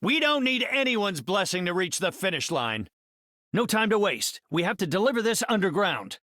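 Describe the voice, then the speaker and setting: An actor playing an earnest middle-aged scientist superhero in a video game, speaking in an articulate, cerebral, heroic way. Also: male voice, high-pitched, sing-song, normal speed, pushed and bright, no echo, studio quality, exaggerated voice. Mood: determined. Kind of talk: video game hero voice lines.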